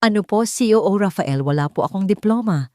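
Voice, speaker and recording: A woman's voice: medium pitch, expressive, a little fast, a little mellow, no echo, clean studio sound, natural voice. Humble, emotional mother acted by a woman. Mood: anxious, humble. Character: grateful, humble housemaid mother in a drama story.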